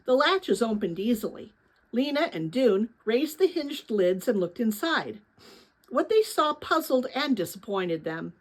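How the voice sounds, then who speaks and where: Female storybook reader, slightly high and breathy-husky, lively melodic range, dramatic read-aloud for kids. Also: rough recording. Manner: expressive, pauses to address young listeners with questions. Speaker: read-aloud narrator of a children's novel.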